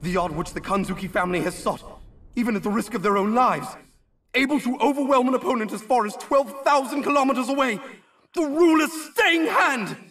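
A man's voice: extremely high pitch, fairly quick, a bit bright, heavily acted. A man playing a devoted, earnest butler in a video game, formal, deferential and dramatic. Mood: awed, dramatic.

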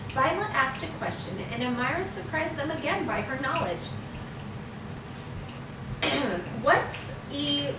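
A woman, a teacher, reading a children's chapter book aloud expressively and acting out the dialogue. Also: high voice. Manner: expressive storytelling, voicing dialogue. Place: living room at home. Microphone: far camera. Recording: roomy, distant microphone, noisy background.

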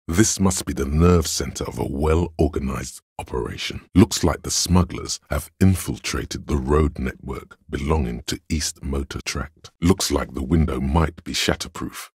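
A very deep, gravelly voice of logic. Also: male voice, no echo, clean studio sound, heavily acted. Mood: probing, deductive. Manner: measured, deliberate, dryly analytical. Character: cool, analytical inner voice of Logic, dry and superior. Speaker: video game voice actor. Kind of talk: dramatic video game narration.

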